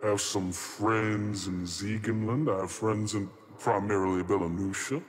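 A man's voice: on the low side, fairly even intonation, normal speed, close to an everyday voice. A man voicing a chatty, courteous trickster spirit in a tabletop game, hedging and rambling politely as he goes. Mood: evasive, reassuring. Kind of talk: improvised tabletop roleplay. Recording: long reverb on the voice.

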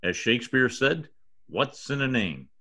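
A man's voice: medium pitch, lively intonation, unhurried, bright, forceful tone. An older man playing a pompous, exasperated radio star with a theatrical manner in old-time radio comedy. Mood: grandly sardonic. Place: indoor home office. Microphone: computer mic on video call.

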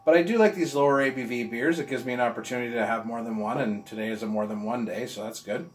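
A man's voice: mid-range voice, fast talker, slight background noise. Enthusiastic man in his forties, casual reviewer, recorded in a roomy, echoey space. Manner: enthusiastic, chatty, talks with his hands. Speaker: beer reviewer. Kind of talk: casual beer review vlog. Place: room with walls of beer bottles, indoors.

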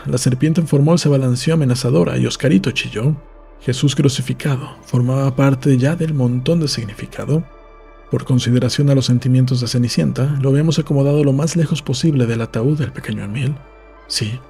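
Mellow man reading a story over faint music. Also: mid-range voice, fairly even intonation, brisk, creaky. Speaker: audiobook reader. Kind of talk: scripted literary narration.

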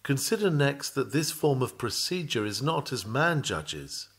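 Man reading a sermon, measured and formal. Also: medium pitch, very animated, a bit bright, slightly rough. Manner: measured, deliberate, formal oratory. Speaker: reader of a historical sermon.

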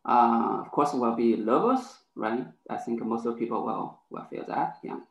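A man's voice: medium pitch, normal speed, creaky, reverberant, poor audio. A man whose first language is not English, hesitantly asking a question over a headset on a video call. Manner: hesitant, many fillers. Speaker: audience member asking a question.

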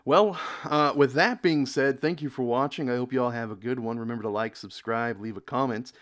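A man's voice: medium pitch, fairly quick, fairly bright tone. A young man from the United States hosting a casual reaction video, rambling, repeating words and reading comments aloud from a dim room.

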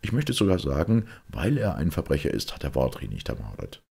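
Man acting an intense, gravelly young secretary. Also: extremely low pitch, wildly swooping pitch, brisk, mellow, full character voice. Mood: vehement. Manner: urgent, emphatic. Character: young male secretary, bitter, impatient, intense.

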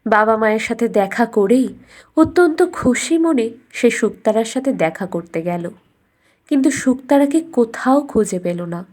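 A woman's voice: fairly high pitch, animated, unhurried, darkish tone. A woman storyteller reading scripted narration for a fairy tale.

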